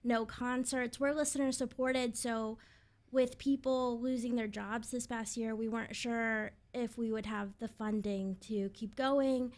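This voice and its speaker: A woman's voice: a little high, steady tone, a little slow. A woman in her twenties, a radio presenter, giving upbeat conversational answers as an interview guest. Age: 20s.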